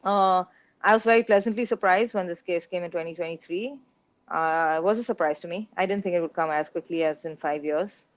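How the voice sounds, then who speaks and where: A woman's voice: fairly low pitch, brisk, no room sound, muffled recording. A woman from India, an interview guest, making her case in an earnest, articulate way. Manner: earnest, articulate, building an argument. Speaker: guest, business executive. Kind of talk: interview answers.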